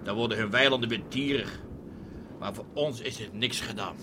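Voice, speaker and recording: An actor playing an ailing, gruff fisherman father with a cough in a Dutch radio play. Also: on the low side, moderate pace, natural voice. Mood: bitter. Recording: noisy old radio play recording.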